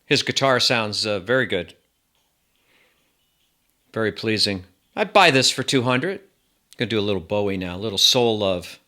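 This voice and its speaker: A man's voice: lowish voice, lively intonation, normal speed, pauses often, pushed and bright. A man giving a casual, rambling product review as a channel host, with wry asides.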